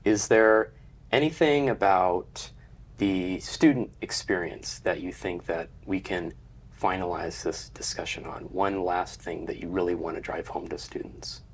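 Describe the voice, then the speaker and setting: A man with a very deep, low voice leads a relaxed talk show, posing careful, open questions. His phrases often sink into near-whispers. A faint noise floor sits under the recording. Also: unhurried. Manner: thoughtful, trails into quiet whispery endings. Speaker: host. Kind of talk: casual discussion, asking questions.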